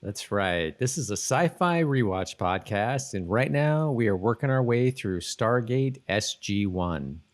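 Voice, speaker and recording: A man's voice: mid-range voice, expressive, a little slow, few pauses. A man co-hosting a podcast in a casual chat, informal and thinking aloud as he goes. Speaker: podcast co-host.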